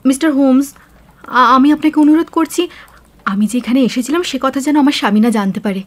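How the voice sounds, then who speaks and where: An actress playing an anxious, dignified aristocratic wife in a radio drama, speaking formally with earnest urgency. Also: female voice, high-pitched, fairly quick. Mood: anxious pleading.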